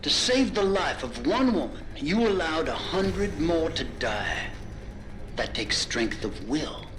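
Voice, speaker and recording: A man's voice: a little high, sing-song, slow, background noise. An actor playing a ruthless, controlled military commander in a video game, a man who taunts with clipped self-assurance. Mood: cold menace. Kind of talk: video game dramatic acting. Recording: loud music behind the voice.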